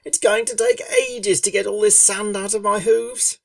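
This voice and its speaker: A woman voicing a brash, impatient young female pegasus pony who complains loudly and whines. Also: medium pitch, flat delivery, normal speed, creaky, no room sound, distant mic, voice pushed up. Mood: irritable. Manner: whiny, loud, complaining.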